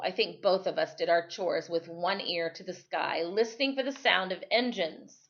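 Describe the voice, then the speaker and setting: A woman reading a children's novel aloud like a teacher at storytime, phrasing it expressively and deliberately. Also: on the low side, fairly bright tone, dull audio.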